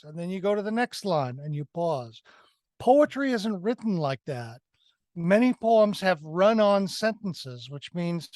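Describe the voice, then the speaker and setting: A man's voice: high voice, a little mellow, no echo. A man giving a conversational film critique as a review panelist, emphatic and repeating phrases for effect.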